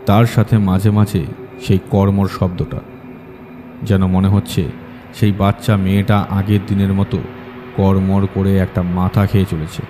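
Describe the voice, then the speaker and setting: A man's voice: deep voice, flat delivery, measured pace, background noise. A man reading a scripted horror audiobook as its story narrator.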